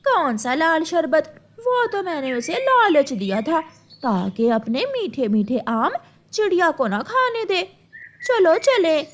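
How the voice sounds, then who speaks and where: A woman's voice: extremely high pitch, big pitch swings, slow pace, a little hiss or hum, exaggerated voice. A woman voicing a sly, lazy female crow who wheedles and fakes apologies in a cartoon. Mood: wheedling, sly.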